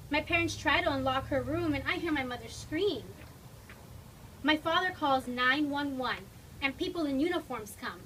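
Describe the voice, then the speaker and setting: A woman's voice: high-pitched, bright, forceful tone, heavily acted. A woman voicing a worried, loving young girl in a dramatic storybook reading. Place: toy shop event, before an audience. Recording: distant mic, noisy room.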